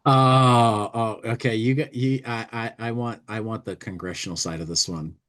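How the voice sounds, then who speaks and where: A man's voice: fairly low pitch, steady tone, moderate pace, a bit bright. A man co-hosting a comedy podcast, commenting, reading aloud and singing, often repeating words as he feels his way along. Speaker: podcast co-host.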